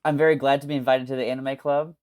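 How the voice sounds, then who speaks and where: A man's voice: medium pitch, little pitch movement, fast talker, noisy background. A man guesting on a casual podcast, easygoing and laid-back.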